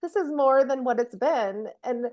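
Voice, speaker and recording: A woman's voice: sits high, animated, measured pace, a little mellow. A woman co-hosting a podcast, speaking in a casual, conversational way. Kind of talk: casual chat.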